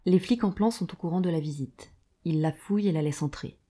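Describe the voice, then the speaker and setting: A woman's voice: on the low side, a little fast, warm tone. A woman reading scripted narration for an audio drama.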